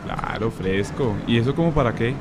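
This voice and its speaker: A man's voice: medium pitch, animated, normal speed, background noise, lightly acted. A man playing a skeptical, teasing young friend in a radio soap, blunt and slangy with a Bogotá accent. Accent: Colombian, Bogotá. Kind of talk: amateur radio soap opera acting.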